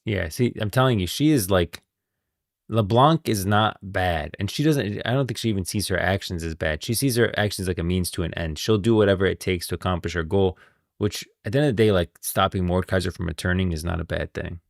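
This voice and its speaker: A man's voice: low voice, a little fast, vocal fry, no room sound, clean studio sound. A man giving casual live commentary as a game lore streamer, informal and musing aloud.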